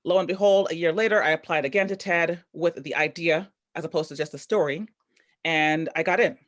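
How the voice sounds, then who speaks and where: A woman's voice: low-pitched, brisk. A woman hosting a live online storytelling event over a video call, upbeat and chatty with loose, rambling phrasing. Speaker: host.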